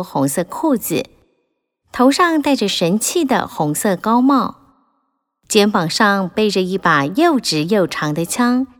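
A woman narrating a children's audiobook in a gentle, expressive storytelling manner. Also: mid-range voice.

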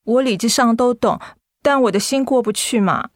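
A woman's voice: fairly high pitch, normal speed, no room sound, clean studio sound, lightly acted. A woman playing a devoted young adult daughter in a radio drama, emotional and plaintive, reluctant to let go. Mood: upset, pleading. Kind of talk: Mandarin radio drama acting.

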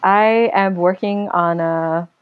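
Thoughtful young woman, low-pitched and dry. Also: fairly even intonation, no echo, phone-quality sound. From United States. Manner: thoughtful, earnest, measured. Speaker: podcast guest. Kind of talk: casual interview.

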